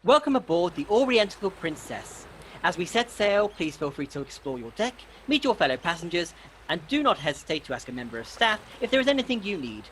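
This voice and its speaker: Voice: mid-range voice, sing-song, brisk, a bit bright, slight background noise, rough recording. An actor playing a theatrical, formal announcer in a comic radio play. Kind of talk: grand scripted radio serial announcing.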